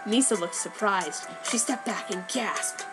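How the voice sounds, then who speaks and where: Woman reading with deadpan mock drama. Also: mid-range voice, measured pace. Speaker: reader. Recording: background music. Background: soundtrack music.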